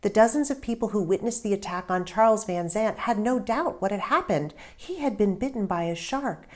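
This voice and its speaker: A woman author reading a story aloud in an expressive, deliberate narrating style with emphatic stresses. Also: medium pitch.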